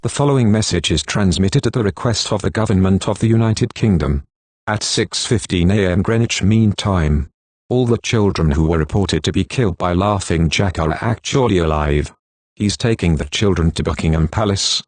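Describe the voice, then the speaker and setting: A man playing a stiff, formal, measured correspondent and emergency alert announcer, reading scripted bulletins. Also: low voice, no room sound. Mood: formal, grave.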